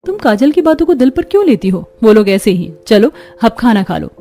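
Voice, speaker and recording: A woman voicing a patient, hardworking poor daughter-in-law in a cartoon, gentle and practical. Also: a little high, big pitch swings, fairly quick. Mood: calm, reassuring. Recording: music behind the voice.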